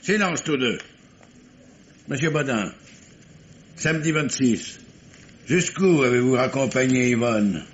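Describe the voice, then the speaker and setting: An actor playing a wily, insistent police commissioner in an old French radio mystery, phrasing hesitantly and repetitively, then with command. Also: male voice, medium pitch, lively intonation, takes it slowly, long pauses. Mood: stern commanding. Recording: muffled vintage radio recording, faint noise.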